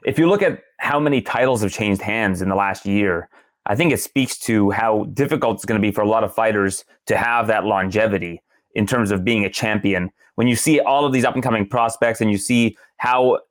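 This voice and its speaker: A man from Canada with a Canadian accent, a guest on a podcast, speaking in a measured, analytical way. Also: fairly low pitch, no echo, studio quality. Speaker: podcast guest, sports journalist. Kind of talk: casual podcast chat.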